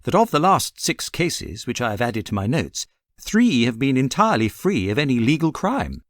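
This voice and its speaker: A genial Victorian gentleman companion, voiced by a male audiobook reader, British and earnest. He sounds curious and inquiring, with animated pitch. The recording is dry. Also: moderate pace, dry recording, close to an everyday voice. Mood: agreeable. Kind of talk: audiobook character reading.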